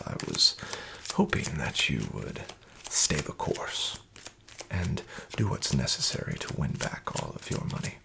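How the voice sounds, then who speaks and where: Soft-spoken low gravelly man, whispering. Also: background noise. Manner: smooth, flattering, slyly manipulative dealer patter; whispery, with much unvoiced soft speech. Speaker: ASMR roleplay creator playing a casino dealer. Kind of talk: soft-spoken ASMR roleplay.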